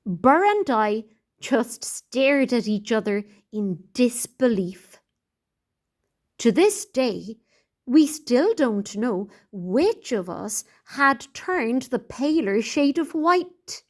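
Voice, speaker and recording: Slow, animated woman reading a storybook. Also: fairly high pitch. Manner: deliberate, expressive, clearly phrased reading. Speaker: teacher reading aloud. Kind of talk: read-aloud storybook narration for children.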